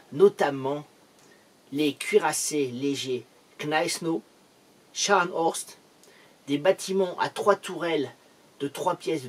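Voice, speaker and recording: A man's voice: on the high side, breathy edge. A man presenting a military history lesson in an emphatic, declamatory way. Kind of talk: history lecture narration.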